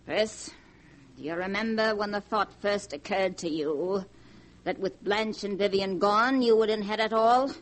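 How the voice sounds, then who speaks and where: A woman's voice: medium pitch, a little slow, natural voice, poor audio. An actress playing a cunning old grandmother in a 1940s radio drama, mocking and gloating with cackling laughs. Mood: sly. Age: older.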